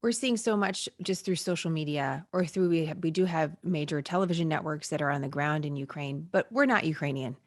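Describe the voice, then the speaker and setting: Low-voiced woman host, dry sound. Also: a little fast, hardly any pauses, no echo. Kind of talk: interview questions.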